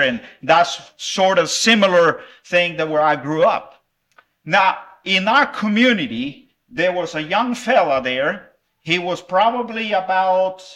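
A man's voice: high-pitched, sharp tone. A middle-aged man from El Salvador preaching at a revival meeting and giving a children's lesson, friendly and conversational with fillers.

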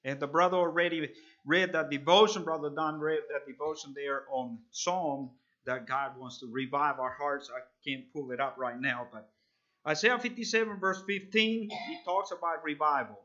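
Guy in his 30s preaching, kinda high bright voice, lots of ums, muffled lo-fi recording. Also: steady tone. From El Salvador. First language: Spanish. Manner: halting, earnest, with frequent fillers. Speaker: visiting preacher. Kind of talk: sermon.

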